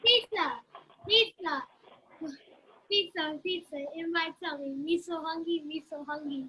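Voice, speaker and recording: A woman's voice: very high voice, a little slow, bright, forceful tone, slight background noise, full character voice, low-quality recording. A woman reading an earnest young female student in a sitcom table read. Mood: silly, excited.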